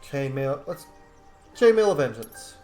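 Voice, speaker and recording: A man's voice: medium pitch, big pitch swings, measured pace, darkish tone, faint music behind. A man doing casual gameplay commentary as a video host, talking to himself informally and swearing now and then.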